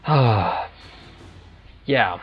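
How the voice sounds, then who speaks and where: Halting non-native male gamer, faint music. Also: mid-range voice, lively intonation, lo-fi sound. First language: not English. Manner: halting, digressive. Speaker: gaming let's player. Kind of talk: casual game commentary. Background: faint game music.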